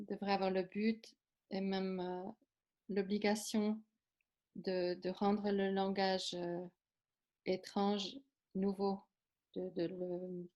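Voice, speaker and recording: Woman interpreting, flat even tone, lots of euh and restarts. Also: mid-range voice. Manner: hesitant, many euhs and restarts. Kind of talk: consecutive interpreting.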